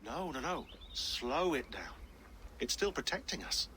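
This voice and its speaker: An actor playing an excitable modern man who rambles and hunts for words. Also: fairly high pitch, a little slow, slight background noise. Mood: animated. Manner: animated, rambling, searching for words.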